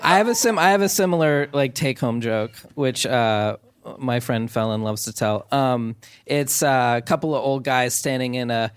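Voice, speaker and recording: A man's voice: mid-range voice, moderate pace, clear, resonant tone, dry recording. Man, Maine accent, comedian, rambling live stage chat, lots of restarts. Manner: rambling, many restarts, laughing storyteller. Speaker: comedian guest. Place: theater stage before an audience.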